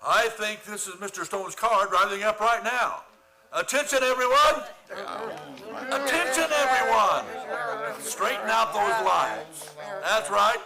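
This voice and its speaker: An actor playing a blustering, pompous middle-aged school principal in a comic radio play. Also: male voice, high-pitched, slow, vocal fry, a loud crowd, heavily acted. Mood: bossy, excited.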